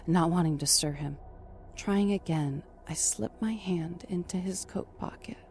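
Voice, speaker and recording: Woman acting a frightened trapped heroine, slow-paced. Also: on the low side, darkish tone, a little hiss or hum. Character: frightened but defiant trapped woman narrating her ordeal. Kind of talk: first-person thriller audio drama narration. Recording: music under the voice.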